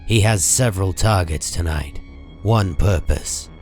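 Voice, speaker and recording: A man narrating a comic book story in a grave, measured, dramatic style. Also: low-pitched, darkish tone. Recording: music under the voice.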